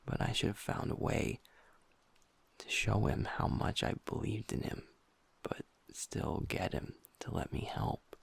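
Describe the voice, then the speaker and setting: Man playing a sweet young werewolf boyfriend for an audio roleplay, low gravelly voice, slow and soft, half-whispered with halting pauses. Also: lively intonation, heavily acted. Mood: vulnerable, confiding. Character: gentle young male werewolf boyfriend, usually upbeat, now downcast.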